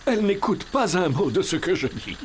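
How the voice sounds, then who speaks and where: A man's voice: high-pitched, sing-song, moderate pace, slight background noise. A man voicing an awkward, lovestruck animal doctor in a French children's cartoon, with a hesitant manner. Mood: smitten, flustered. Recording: music behind the voice.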